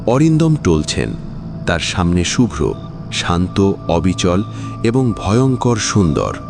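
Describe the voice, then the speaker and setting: A male narrator with a deep voice delivers dramatic suspense storytelling. His intonation is very lively and varied. Loud music plays behind him. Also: slow pace, creaky.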